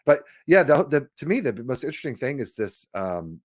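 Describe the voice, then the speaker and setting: Casual male co-host with lively, swooping pitch. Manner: halting, thinking aloud. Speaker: podcast co-host. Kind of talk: casual chat about computer history.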